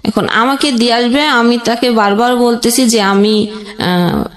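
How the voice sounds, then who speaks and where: High-voiced young Bangladeshi woman telling her story. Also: unhurried. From Jashore region, Bangladesh. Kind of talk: personal storytelling in conversation. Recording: roomy echoing sound.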